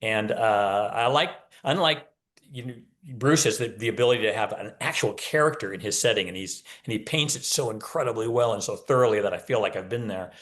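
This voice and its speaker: A man's voice: fairly low pitch, brisk, a bit bright. A man talking casually as an author guest, explaining point by point with wry humor. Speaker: novelist, podcast guest. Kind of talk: casual interview talk.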